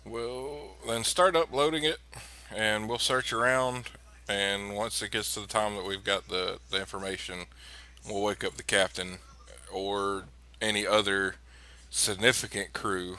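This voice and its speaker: A man's voice: fairly low pitch, steady tone, unhurried, sharp tone, clear, resonant tone. A man playing a tabletop role-playing game, laying out plans in a matter-of-fact way. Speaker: player. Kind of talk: casual game chat.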